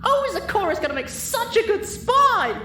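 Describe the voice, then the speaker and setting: Shrill, hyper theatrical cartoon pony mare. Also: very animated, normal speed, pushed and bright, distant mic, heavily acted. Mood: giddy. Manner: furious sing-song, shrill, exaggerated. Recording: roomy echo, clearly noisy background.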